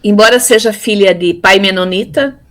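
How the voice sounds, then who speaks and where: A woman in her fifties from Brazil, telling her life story in a relaxed interview, pausing often with fillers and repeated words. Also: mid-range voice. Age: 50s. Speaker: interviewee, theology graduate. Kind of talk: casual conversational interview.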